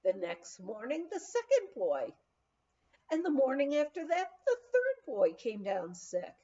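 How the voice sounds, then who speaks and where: A woman narrating a memoir as an audiobook reader, in an expressive storytelling manner on a slightly dull recording. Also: high voice, a little slow.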